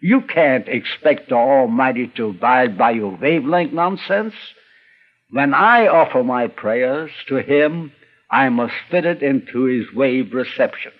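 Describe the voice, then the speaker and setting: An older man, a radio critic, reminiscing as documentary narrator with animated storytelling. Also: medium pitch, measured pace. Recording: dull archival radio documentary recording.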